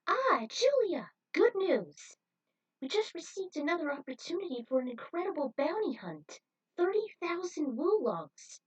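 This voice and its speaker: A woman voicing a brash, excitable bounty hunter, loud and emphatic, in an amateur story reading. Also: very high voice, unhurried, distant mic, pitched above the performer's own voice, heavily acted, poor audio. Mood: excited.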